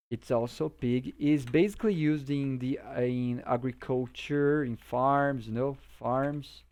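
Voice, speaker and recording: A man's voice: medium pitch, darkish tone. A Brazilian man, a native Portuguese speaker, giving an informal English vocabulary lesson in simple explanations, often checking that the listener follows. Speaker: English teacher.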